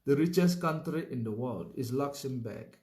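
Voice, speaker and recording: A man's voice: medium pitch, animated, normal speed, darkish tone, reverberant. A man giving a political commentary monologue, emphatic, repeating his points and filling gaps with "you know".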